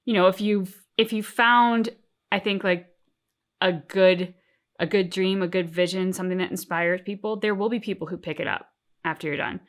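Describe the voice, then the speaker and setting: A woman co-hosting a reaction show, talking casually and thoughtfully, with deliberate, emphatic phrasing. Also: mid-range voice, fairly even intonation. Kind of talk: casual reflective discussion.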